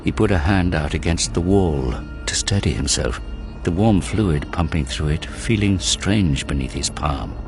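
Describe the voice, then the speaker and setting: Deep-voiced man narrating over music. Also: animated, unhurried. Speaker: audio drama narrator. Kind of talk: dramatic scripted narration of a war story. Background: prominent dramatic music.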